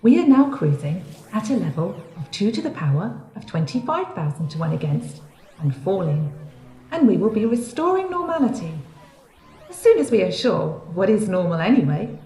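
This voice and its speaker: A composed female announcer aboard a comic sci-fi starship, played by a woman. She is formal and reassuring, with a mid-pitched, swinging intonation. The sound is clearly roomy. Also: very animated, normal speed, warm tone, faint music behind. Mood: calm, reassuring.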